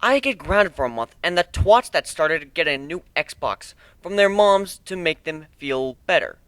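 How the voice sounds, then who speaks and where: A man's voice: on the high side, lively intonation, unhurried, a bit bright, clear, resonant tone, no room sound, pitched above the performer's own voice. A man reading a dramatic first-person story as a sarcastic, bitter bullied teenage nerd, with emphatic delivery. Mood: bitter, sardonic. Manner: sardonic, emphatic.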